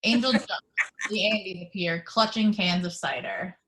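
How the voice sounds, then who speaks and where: A woman reading the action description at a video call table read in an even, measured way. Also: mid-range voice, rough recording. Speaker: narrator reading stage directions.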